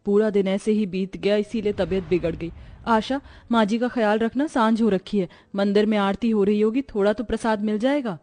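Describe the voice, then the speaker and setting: A woman's voice: mid-range voice, steady tone, normal speed, darkish tone, lightly acted. A woman playing a devout, long-suffering poor daughter-in-law in a cartoon moral story, earnest and reverent. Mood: caring.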